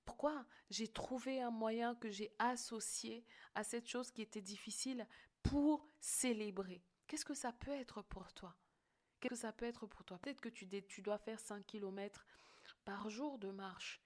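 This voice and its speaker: A woman's voice: a little high, a little slow, a little mellow. A woman hosting a motivational coaching podcast, speaking emphatically and often checking in with the listener. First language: French-English bilingual.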